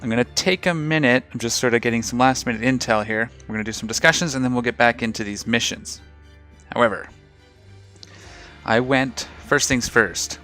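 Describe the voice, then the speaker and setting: A man hosting a casual game video, talking through his ship setup with a slightly rough, hoarse voice. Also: mid-range voice, normal speed, faint music behind. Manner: relaxed, rambling, explains ship fittings. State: slightly rough voice after a night of singing. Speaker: gaming channel host. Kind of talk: casual gameplay commentary.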